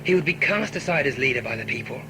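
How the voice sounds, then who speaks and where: A man's voice: medium pitch, lively intonation, fast talker, lightly acted. A man acting a scheming, ambitious conspirator in a sci-fi audio drama, intense, formal and persuasive. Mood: scheming, urgent. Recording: noisy amateur audio play recording.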